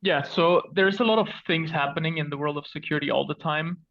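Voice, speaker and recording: A man's voice: on the high side, fast, lo-fi sound. A man from Belgium, a guest on a tech podcast, explaining things in an earnest way with frequent "so" and "basically". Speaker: podcast guest, security architect. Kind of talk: casual technical interview.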